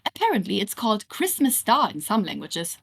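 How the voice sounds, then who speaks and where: A woman's voice: medium pitch, very animated, fairly quick, creaky, fairly bright tone, husky, exaggerated voice. A woman voicing a fussy, affectionate male angel in a story, earnest and emphatic. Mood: eager, hopeful.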